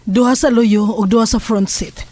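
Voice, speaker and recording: A woman playing a watchful, fearless middle-aged mother in a horror audio drama, speaking in a grave, measured way that sometimes drops to a hush. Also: fairly high pitch, fairly even intonation, no room sound, close to an everyday voice. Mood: grave, wary. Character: watchful, fearless mother figure facing hidden enemies.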